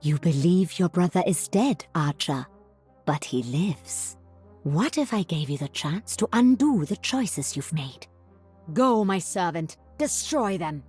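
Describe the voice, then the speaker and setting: A woman's voice: on the low side, expressive, takes it slowly, a little mellow, breathy edge, faint music behind, heavily acted. A woman voicing a sly, commanding witch in a video game, theatrical and sinister in manner. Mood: coldly tempting. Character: sly, commanding witch who bargains and casts spells. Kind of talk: video game character voice lines.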